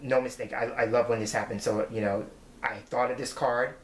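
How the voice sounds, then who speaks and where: A man in his late forties talks through tarot cards in an earnest, reflective way. He explains patiently and sits at an ordinary, middle pitch. The room around him is noticeably echoey. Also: steady tone, a little fast, slight background noise. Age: 40s. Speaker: tarot reader. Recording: roomy echo.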